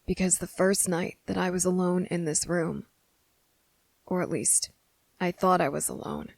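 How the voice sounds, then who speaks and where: Woman voicing a guarded, gravelly-voiced young boy, hushed. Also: on the low side, fairly even intonation, no room sound. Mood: quiet, reflective. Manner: hushed, halting, pauses mid-thought. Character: young boy, guarded and fed up, confronting a hidden monster. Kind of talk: ASMR roleplay monologue.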